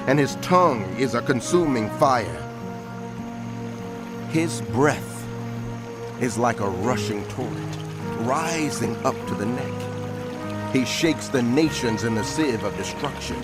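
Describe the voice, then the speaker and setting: An actor playing a prophet who narrates dramatized scripture in a measured, earnest, proclaiming way. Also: male voice, medium pitch, natural voice. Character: prophet narrating, earnest male herald of God.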